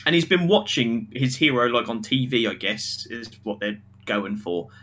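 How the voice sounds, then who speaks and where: A man's voice: mid-range voice, normal speed. A man co-hosting a podcast, giving an opinionated, casual film review in an emphatic way, acting out mock quotes as he argues. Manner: emphatic, animated arguing with acted-out mock quotes.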